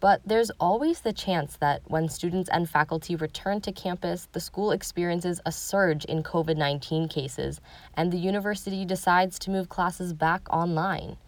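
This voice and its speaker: A woman reading a scripted news report as host, in a measured, even delivery. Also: fairly low pitch, no echo.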